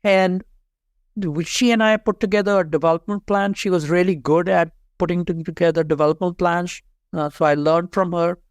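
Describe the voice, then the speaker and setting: A man in his fifties from Pakistan with a Pakistani accent, telling career stories as an interview guest in an earnest, steady way. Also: high voice, normal speed, clear, resonant tone, dry recording, studio quality. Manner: earnest, steady, repeats key points. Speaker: guest, HR leader and author. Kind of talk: interview storytelling.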